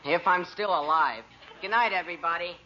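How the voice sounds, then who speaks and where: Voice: medium pitch, a little fast, very noisy, lightly acted. An actor playing a whiny, earnest teenage boy, a put-upon older brother in a radio comedy. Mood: glum, grumbling. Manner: whiny, pleading. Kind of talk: old radio comedy acting. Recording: dull old radio recording.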